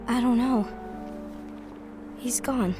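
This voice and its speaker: A woman's voice: on the high side, slow. A woman acting a young, dutiful and protective female leader in a dramatic video game cutscene, with earnest, emotional delivery. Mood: worried, subdued. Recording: orchestral music behind the voice.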